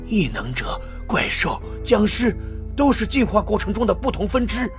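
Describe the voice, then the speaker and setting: A man voicing a fanatical captive scientist in an animated drama, explaining and warning in a dramatic way. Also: sits high, normal speed, soft background music. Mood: ominous, persuasive. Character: captive male scientist, fanatic about evolving humanity. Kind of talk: animated motion-comic drama dubbing.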